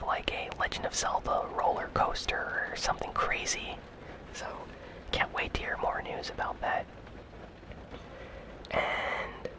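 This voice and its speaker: Soft, breathy whispered ASMR voice. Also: poor audio. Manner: gentle, casual, unhurried delivery. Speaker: host of a gaming news channel. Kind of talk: whispered ASMR news commentary. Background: rain sounds.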